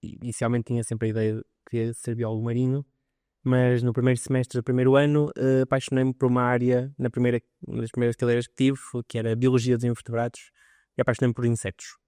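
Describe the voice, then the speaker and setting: A man's voice: mid-range voice, level tone, fast, warm tone, smooth, no room sound, clean studio sound. A young man from Portugal, telling his story as a biologist guest on a podcast, eager and full of detail. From northern Portugal. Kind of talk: podcast interview.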